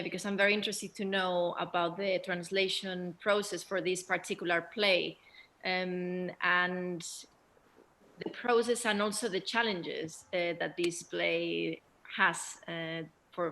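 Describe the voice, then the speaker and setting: A woman's voice: on the low side, steady tone, measured pace, vocal fry, pushed and bright, slight background noise. A woman moderating a post-show question and answer session, circling back to rephrase as she puts her questions. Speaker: panel moderator.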